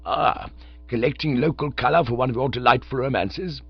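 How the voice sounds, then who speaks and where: A man's voice: medium pitch, moderate pace, vocal fry, husky, dry recording, soft background music, pitched above the performer's own voice. A man voicing a pompous, portly elderly lord who speaks in stately, formal, wordy phrases. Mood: courteous. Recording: dull lo-fi dry recording.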